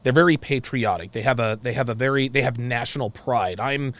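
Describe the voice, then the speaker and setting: A man's voice: medium pitch, fairly quick, fairly bright tone. An American man hosting a live call-in discussion, giving his opinions emphatically and repeating phrases as he goes. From United States. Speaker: talk show host.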